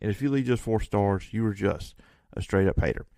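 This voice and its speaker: A man hosting a casual sports talk show from a studio, chatty and energetic. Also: on the low side, no echo. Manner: energetic, chatty, quick restarts. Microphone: studio microphone.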